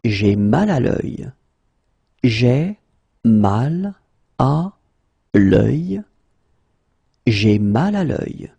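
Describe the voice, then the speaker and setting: A man teaching French in a pronunciation drill, slowly repeating each phrase and then saying it word by word. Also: mid-range voice, very animated, creaky, warm tone, slightly rough, no room sound.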